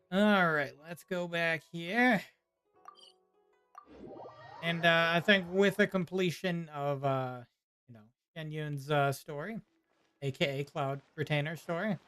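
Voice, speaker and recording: A man with a somewhat high voice chats casually as he streams a game. His commentary is informal and reactive, with faint music behind it. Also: sharp tone. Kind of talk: casual live gameplay commentary.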